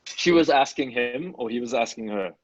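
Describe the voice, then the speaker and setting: A man's voice: medium pitch, animated, normal speed, no echo, highs cut off. A man chatting casually with a joking, bantering way of talking. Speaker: podcast cast member.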